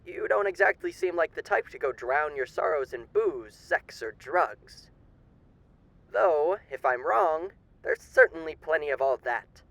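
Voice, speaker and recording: A man's voice: on the high side, expressive, measured pace, creaky, fairly bright tone, no room sound, heavily acted, rough recording. A man playing a suave demon overlord with theatrical, old-fashioned genteel diction in an audio roleplay. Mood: smug, courteous. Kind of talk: ASMR character roleplay.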